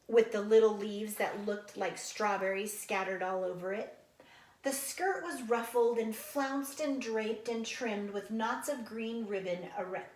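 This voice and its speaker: Higher-voiced woman reading aloud in echoey room. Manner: unhurried, explains and chats to young listeners. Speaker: read-aloud storyteller, teacher. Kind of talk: expressive children's book read-aloud with casual asides. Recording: roomy echo, faint background noise.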